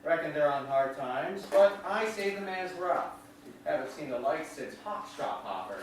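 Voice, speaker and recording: A neighborly, gruff small-town gas station man, played by a woman in a stage reading. The delivery is folksy and very animated in pitch. It echoes heavily, caught by a distant mic. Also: unhurried. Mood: cautioning. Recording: very echoey hall, distant mic, faint noise.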